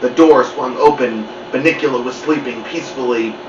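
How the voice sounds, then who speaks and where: A man reading a children's book aloud in an animated storytelling style. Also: mid-range voice, moderate pace, background noise. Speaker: story-time reader. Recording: muffled, roomy, distant mic.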